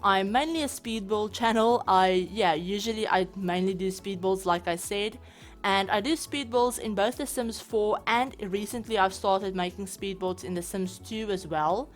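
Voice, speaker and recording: A woman's voice: mid-range voice, moderate pace, faint music behind. A woman with a South African accent, chatting casually over her gameplay as a channel host, rambling with lots of fillers. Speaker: game building channel host.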